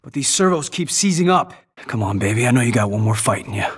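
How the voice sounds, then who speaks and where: A man's voice: medium pitch, expressive, quick pace, breathy edge, distant mic. A man acting a practical, wry soldier in a video game with a plain-spoken delivery.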